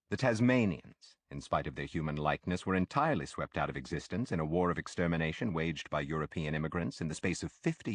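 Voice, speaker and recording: A man with a low, deep voice narrates literary prose for an audiobook. His intonation moves expressively across a wide range while the phrasing stays formal and deliberate. The recording is dry and close, with no room sound. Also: breathy edge, dry recording.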